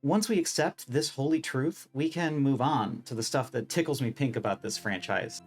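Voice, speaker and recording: A man narrating a scripted video essay in a wry, opinionated, emphatic way. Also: medium pitch.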